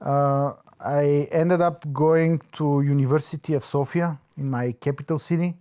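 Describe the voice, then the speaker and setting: A man with a Bulgarian accent, reflecting in an interview, pausing often to search for his words. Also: a little high, steady tone, mellow. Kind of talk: reflective oral history interview.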